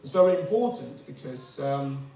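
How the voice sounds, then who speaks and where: A man's voice: medium pitch, lively intonation, moderate pace, creaky, dark tone. A middle-aged man from Ghana, giving an impassioned public address full of rhetorical questions that rise to emphatic peaks. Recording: very echoey room, mic from a distance.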